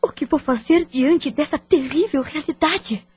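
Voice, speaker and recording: A woman's voice: high-pitched, measured pace, dry recording, muffled recording. An actress playing a betrayed wife and worried mother in an audio drama, bitter yet protective. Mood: bitter, anguished.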